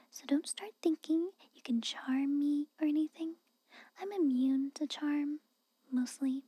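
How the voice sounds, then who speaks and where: A woman performs a haughty, guarded castle maid for an ASMR fantasy roleplay. Her high whisper is soft, breathy and extremely mellow, recorded close and dry. She speaks haltingly, cautioning the listener while grudgingly hiding that she cares. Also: no echo. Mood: defensive, grudging. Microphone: close microphone.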